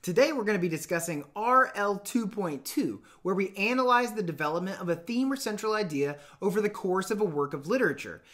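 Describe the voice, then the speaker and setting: A man in his thirties teaching and reading aloud in an expressive, emphatic, explanatory way. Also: high-pitched, brisk, hardly any pauses. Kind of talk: explanatory lesson and storybook narration. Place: small dim room indoors.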